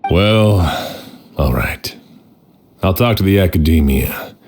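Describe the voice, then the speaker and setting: A man voicing a weary, fair-minded sheriff who talks in a tired, deliberate way, in a game cutscene. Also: low voice, expressive, darkish tone, slight background noise, full character voice. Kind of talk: video game voice acting.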